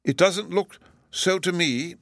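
A man's voice: sits high, slow, fairly bright tone, dry recording, voice pushed up, heavily acted. A man voicing a pompous, patronising Lord Chancellor in a stately, wondering manner.

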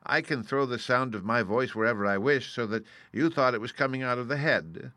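A man's voice: medium pitch, brisk, pushed and bright, no room sound, voice pushed up. A man voicing a meek little old fraud of a wizard in a storybook, trembling, apologetic and pleading. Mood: explaining. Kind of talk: storybook character reading.

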